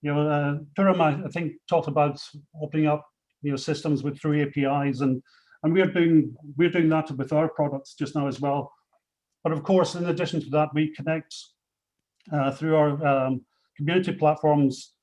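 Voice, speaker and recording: A Scottish man speaking on a video call, his voice set a little high for a man. He presents awards in a measured, halting way, padding his sentences with ums and uhs and often restarting them. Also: darkish tone, low-quality recording. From Scotland. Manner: hesitant, many fillers and restarts. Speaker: CEO presenting industry awards. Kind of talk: webinar remarks, unscripted.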